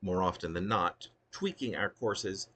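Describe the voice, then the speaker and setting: A man's voice: low-pitched, a little slow, bright, forceful tone, breathy edge. A man reading his own poetry as an essay-like monologue, in a ruminative, wordy and emphatic manner. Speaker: poet reading own work. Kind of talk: spoken poetry reading, essay-like monologue with occasional unscripted asides.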